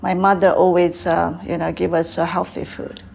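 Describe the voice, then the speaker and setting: An older woman from Taiwan with a Taiwanese accent, answering interview questions in halting English with frequent fillers. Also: on the low side, little pitch movement, vocal fry, a little mellow. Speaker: guest, tea house owner.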